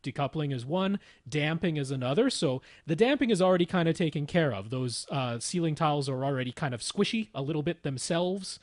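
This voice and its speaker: A man's voice: medium pitch, dry recording, clean studio sound. A man co-hosting a podcast, casually explaining technical matters in a methodical, step-by-step way. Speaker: podcast co-host.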